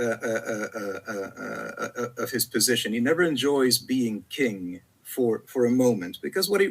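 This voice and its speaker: A man, a poet whose first language is Italian, speaking reflectively in an interview with frequent pauses, fillers and repeated words. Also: mid-range voice, fairly bright tone, breathy edge.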